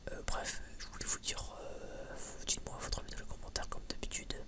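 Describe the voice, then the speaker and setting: Hushed, breathy ASMR whisper. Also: fairly quick. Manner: rambling, hesitant, informal. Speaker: ASMR video creator.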